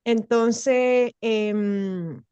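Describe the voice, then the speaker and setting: A woman's voice: on the high side, steady tone, drawn-out words, mellow, smooth, no echo, lo-fi sound. A woman with a mixed international accent, Spanish as her second language after French, opening an online talk in a friendly, hesitant way. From France and Spain. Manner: friendly, hesitant restarts, light laughs. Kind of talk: casual conference introduction. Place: in-person event in Valencia.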